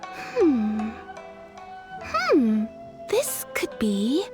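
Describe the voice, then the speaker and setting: A woman's voice: on the high side, wildly swooping pitch, very slow, over music, exaggerated voice. A woman playing a courteous, eager kimono shopkeeper in an English video game dub, with a polite, deferential way of addressing customers. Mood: considering, businesslike. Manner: polite, deferential sales patter.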